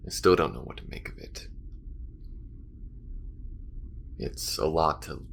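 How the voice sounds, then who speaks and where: A man playing an earnest alien smuggler and loyal friend in an intimate audio roleplay, speaking haltingly and confidingly to the listener in a gravelly voice. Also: a bit bright, slight background noise, full character voice. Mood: reflective, grateful. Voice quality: very deep, gravelly. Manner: halting and confiding, with frequent pauses. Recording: music behind the voice.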